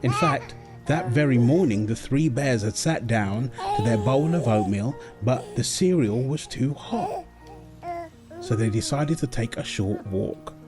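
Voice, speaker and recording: A man acting a gentle young father at his baby daughter's bedtime, soft, playful and coaxing in an intimate roleplay style. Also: medium pitch, expressive, measured pace, music in the background, natural voice. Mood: storytelling. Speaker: audio roleplay performer. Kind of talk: intimate comfort roleplay, storybook reading.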